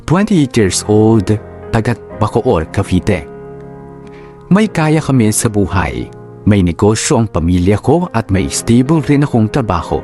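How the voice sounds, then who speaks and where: A man's voice: lowish voice, big pitch swings, unhurried, a little mellow, soft background music. A man reading scripted first-person story narration as a radio drama narrator.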